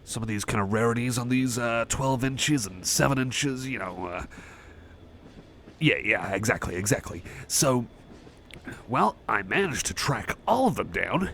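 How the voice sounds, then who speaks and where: A man's voice: medium pitch, lively intonation, fairly bright tone, slight background noise. A man playing a shy, friendly cyborg chum in an ASMR roleplay, stammering, repeating himself and putting himself down. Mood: friendly, awkward. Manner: stammering, halting, repeats phrases, self-effacing. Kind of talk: ASMR roleplay, personal attention.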